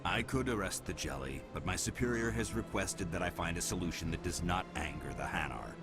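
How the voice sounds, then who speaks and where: A man's voice: deep voice, brisk, over loud music. A man playing a stern, officious alien security officer in a video game, formal and by the book. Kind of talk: game voice acting.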